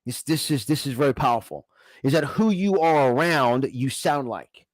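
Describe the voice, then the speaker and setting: A man's voice: mid-range voice, animated, normal speed, no room sound. A man with a New York accent giving an emphatic, exhortative motivational talk, repeating phrases to drive them home. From New York, United States. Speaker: motivational speaker and coach.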